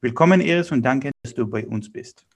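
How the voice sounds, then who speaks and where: Male voice, medium pitch, interview host, introductions and questions. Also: expressive, fairly quick, breathy edge.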